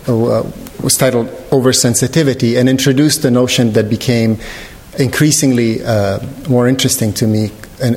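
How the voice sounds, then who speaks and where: A man's voice: mid-range voice, a little mellow. Reflective man lecturing in a reverberant hall. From Lebanon. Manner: hesitant, searching, measured phrasing with careful formal wording. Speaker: visual artist giving a lecture. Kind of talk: reflective public artist talk. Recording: echoey hall with long reverb.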